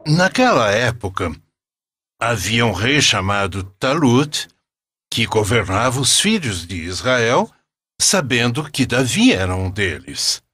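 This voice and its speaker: A solemn Brazilian grandfather, tells cartoon stories in a husky voice. His delivery is slow and reverent, with lively rises and falls in pitch. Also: no room sound, exaggerated voice. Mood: solemn storytelling. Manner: measured, reverent. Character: solemn, kindly grandfather telling religious stories. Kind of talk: scripted storytelling narration.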